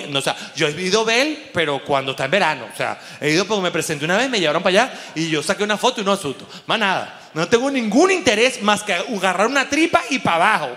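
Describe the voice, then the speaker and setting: A Venezuelan man doing animated, colloquial stand-up and audience banter on stage into a handheld mic, cursing freely. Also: sits high. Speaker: stand-up comedian and show host. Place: on stage in a dark theater with audience. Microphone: handheld microphone. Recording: echoey theater hall, audible background noise.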